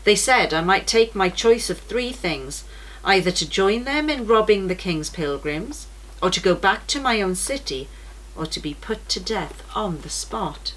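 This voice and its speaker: A woman's voice: medium pitch, expressive, measured pace, fairly bright tone, poor audio. A woman voicing a brave, earnest male pilgrim swordsman in a children's storybook. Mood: earnest, proud. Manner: earnest storybook narration of his fight. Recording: noticeable background noise.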